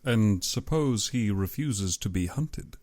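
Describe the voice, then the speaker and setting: A man's voice: on the low side, expressive, slow, darkish tone, no echo. Audiobook hero: male reader as an indignant, uneasy hunter, terse and held back, close dry recording. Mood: wary.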